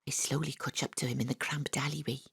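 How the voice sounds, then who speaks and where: Woman acting a female private eye, deep voice, dry wry noir narration, audio drama, no room echo. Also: fairly even intonation, fast, vocal fry, a bit bright, husky, full character voice. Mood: wry, gritty. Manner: wry, dry first-person storytelling. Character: wry, hard-boiled female private detective narrating her case.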